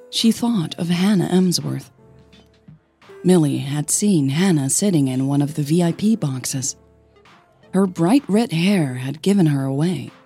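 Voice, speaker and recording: A woman narrating scripted audio fiction in a measured storytelling manner, phrase by phrase. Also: sits low, dark tone, slightly rough. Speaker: podcast host and narrator.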